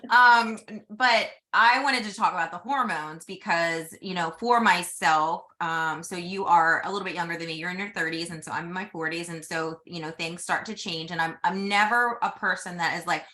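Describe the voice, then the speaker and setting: A woman in her forties hosting a podcast, chatting casually in an animated way. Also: lowish voice. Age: 40s. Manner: chatty and animated, quick asides. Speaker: podcast host. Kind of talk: casual chat.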